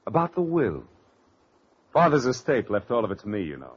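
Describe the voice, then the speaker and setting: An actor playing a smooth, cocky young American impostor in a breezy, teasing 1940s radio mystery style. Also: male voice, medium pitch, huge pitch swings, a little fast. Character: smooth, cocky impostor posing as a long-lost brother. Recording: muffled vintage radio recording.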